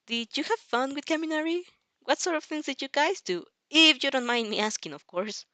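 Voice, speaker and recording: Girl doing a nervous sweet anime boy, high bright voice, stammers, gets flustered. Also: lively intonation, brisk, no room sound. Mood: interested, gentle. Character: shy, anxious, caring teenage boy hero fan.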